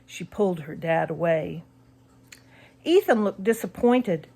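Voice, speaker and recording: Slow, animated woman narrating spooky story. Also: fairly low pitch, creaky. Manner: expressive, suspenseful. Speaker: audiobook narrator.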